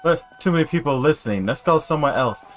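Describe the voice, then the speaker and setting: A man's voice: on the high side, animated, quick pace, faint music behind. A man giving casual gameplay commentary as a live streamer.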